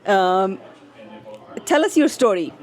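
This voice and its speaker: A woman's voice: mid-range voice, lively intonation, takes it slowly. Woman interviewer, short friendly prompts. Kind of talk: interview questions.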